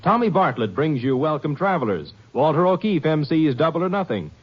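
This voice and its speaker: A man's voice: fairly high pitch, very animated, fairly quick, breathy edge. A man reading an upbeat, polished 1950s network promo. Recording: dull old radio transcription.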